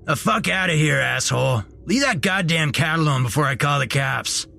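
A man's voice: fairly high pitch, lively intonation, normal speed, vocal fry, sharp tone, faint music behind, pitched above the performer's own voice, full character voice. A man voicing an overweight, hot-tempered, foul-mouthed store manager who barks angrily in a story. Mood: furious. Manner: angry barking.

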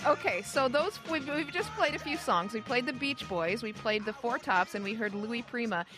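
A woman's voice: on the high side, lively intonation, moderate pace, over music, rough recording. An American woman hosting a radio show, asking questions in a conversational interview style.

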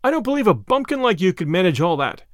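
A man's voice: high voice, big pitch swings, a little fast, no echo, clean studio sound. A man voicing a flamboyant, boastful giant thief in a storybook, with a theatrical, taunting manner. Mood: furious disbelief. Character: flamboyant, gloating giant master thief, male, boastful and mocking.

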